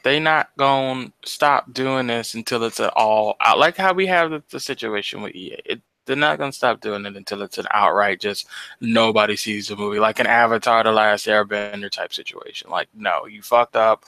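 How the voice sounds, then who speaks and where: A man's voice: lowish voice, moderate pace, bright, forceful tone, no echo, rough recording. An American man co-hosting a podcast, explaining his points in casual, slangy talk with some swearing. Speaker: podcast co-host. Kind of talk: casual discussion of movies.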